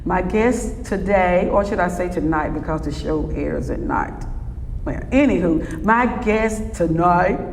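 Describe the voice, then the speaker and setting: A woman's voice: fairly low pitch, unhurried, vocal fry. Woman host chatting, takes her time, echoey room, bit far from mic. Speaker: talk show host. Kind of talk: casual interview. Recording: reverberant hall, distant, faint noise.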